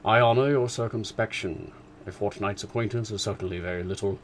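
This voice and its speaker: A sardonic older father who teases his family with perfect composure, as a male reader plays him. The voice sits low and level, close to the reader's own, with a dry ironic edge. It suits a storybook reading. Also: fairly quick, slight background noise, lightly acted. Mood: dry teasing.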